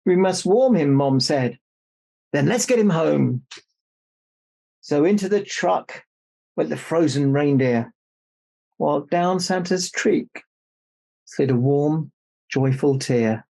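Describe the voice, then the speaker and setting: A man's voice: a little high, takes it slowly, creaky, long pauses, a little mellow, full character voice, poor audio. A man playing Santa Claus, a jolly old man reading a children's storybook aloud with jokey, mock-indignant asides. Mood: storytelling.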